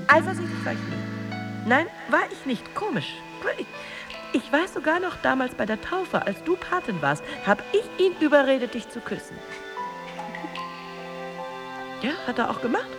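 A woman's voice: high voice, sing-song, normal speed, music in the background. An actress in a radio drama playing a jealous, bitter married woman, a taunting and volatile rival delivering a theatrical monologue. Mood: defensive. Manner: emotionally volatile, theatrical, swinging between hushed and outburst.

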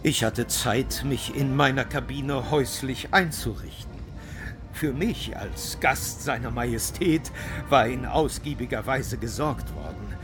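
A man plays a contented gentleman traveller in a historical radio play. The memoir unfolds lyrically at a very slow pace, in a mid-pitched voice with music behind. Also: lightly acted. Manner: lyrical, unhurried. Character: genteel, contented traveller recalling a royal sea voyage. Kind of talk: literary memoir reading in radio drama.

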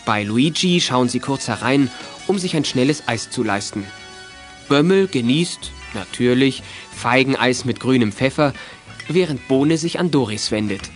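A man's voice: medium pitch, lively intonation, unhurried, faint music behind. A man narrating a children's audio drama as a scripted storyteller.

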